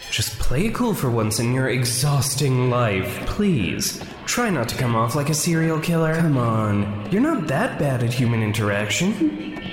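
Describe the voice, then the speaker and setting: An anxious young man's inner voice, sardonic and exasperated. It swings in pitch and rings with heavy reverb. A fiction podcast feel, self-deprecating throughout. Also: sing-song, a little slow. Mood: self-critical. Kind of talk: scripted audio drama inner monologue. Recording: echoey reverb over loud music.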